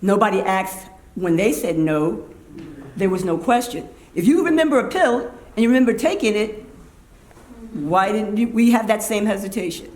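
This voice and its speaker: A mid-pitched speaker shares a heartfelt view at a talkback, often stopping and restarting to find the right words. The room rings with echo, and a faint noise sits underneath. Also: lively intonation, normal speed, darkish tone. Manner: earnest, searching for words, restarting sentences. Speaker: audience member at talkback. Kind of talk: impassioned opinion in a talkback. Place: theater event space, indoors.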